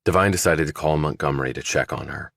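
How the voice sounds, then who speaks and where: A man's voice: a bass voice, fast, vocal fry, fairly bright tone, no echo, clean studio sound. A man reading a scripted thriller audiobook as its narrator.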